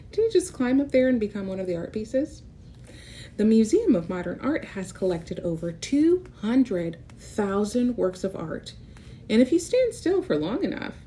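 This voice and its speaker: A woman's voice: medium pitch, mellow, a little hiss or hum. A woman reading a picture book aloud to young children, expressively, with casual asides that explain and point things out. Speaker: children's read-aloud host.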